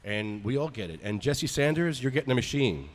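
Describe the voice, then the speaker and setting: A man's voice: medium pitch, sing-song, fairly quick. A man co-hosting a podcast, chatting casually and reading out ads. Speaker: podcast co-host. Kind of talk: casual podcast chat and live ad read.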